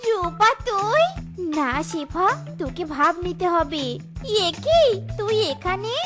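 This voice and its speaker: A woman's voice: very high voice, animated, takes it slowly, over music, heavily acted. A woman voicing a haughty young jackal wife in a children's cartoon, with theatrical, exaggerated intonation. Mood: boastful.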